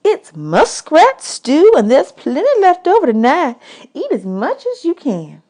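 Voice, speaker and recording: A woman's voice: sits high, huge pitch swings, measured pace, darkish tone, voice pushed up, heavily acted. A woman voicing a kindly, teasing camp woman in a storybook, folksy, motherly and reassuring. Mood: teasing, welcoming.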